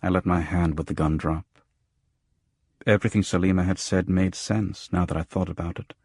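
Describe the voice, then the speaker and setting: A man reading a first-person detective novel as a scripted audiobook narration, with a husky voice. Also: low-pitched, fairly even intonation, moderate pace, a little mellow, no echo, studio quality.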